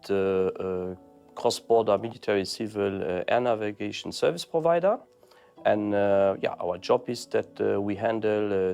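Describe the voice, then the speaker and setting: A man explaining his organisation's work in an unscripted interview, halting often as he searches for words. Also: lowish voice, gravelly, darkish tone, clear, resonant tone. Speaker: air navigation official being interviewed. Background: background music.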